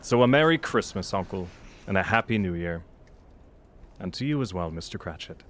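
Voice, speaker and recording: An actor playing a cheerful, earnest, warm-hearted young nephew in an audio drama, hearty and pleading in manner. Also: male voice, low voice, animated, normal speed, a little hiss or hum. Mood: pleading, kindly.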